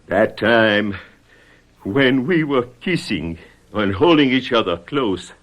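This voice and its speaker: A man's voice: mid-range voice, lively intonation, slow pace, dull audio. An actor in a radio mystery drama playing a remorseful, pleading man who makes an earnest, self-justifying confession. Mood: defensive pleading.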